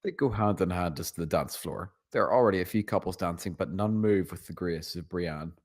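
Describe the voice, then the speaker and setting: A man's voice: deep voice, brisk, darkish tone. A man narrating scene directions from a screenplay at a table read. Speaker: narrator reading stage directions.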